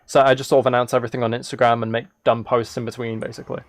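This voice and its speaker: A man's voice: medium pitch, level tone, very fast. A man chatting casually on a livestream as he plays a game, rambling and thinking aloud. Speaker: live streamer. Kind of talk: casual livestream chat while gaming, reading chat.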